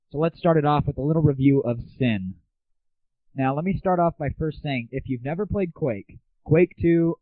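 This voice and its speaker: Young American male host, sarcastic and chatty, dry phone-like lo-fi sound. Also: no echo. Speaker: host of an online gaming audio show. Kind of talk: casual comic rant and game review. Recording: tinny, phone-like low-bandwidth audio, very dry.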